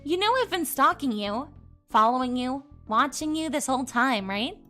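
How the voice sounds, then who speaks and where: A troubled young stalker girl in a visual novel, high in pitch and heavy with self-loathing, as a woman streamer reads her lines. She talks plainly and bitterly about herself. A faint game soundtrack plays underneath. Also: animated, normal speed, bright, forceful tone, soft background music.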